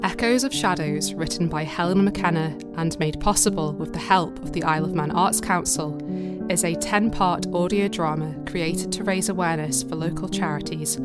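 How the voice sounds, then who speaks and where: A woman's voice: fairly low pitch, natural voice. A woman reading scripted, dramatic narration for an audio drama trailer. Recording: loud guitar music underneath. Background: plucked guitar music.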